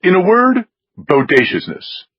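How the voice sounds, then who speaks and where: A man's voice: sits high, wildly swooping pitch, unhurried, slightly rough, no echo, dull audio, voice pushed up, exaggerated voice. A man voicing a haughty, pampered upper-class snob with precise, drawling diction, as an audiobook character. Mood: smug, precise.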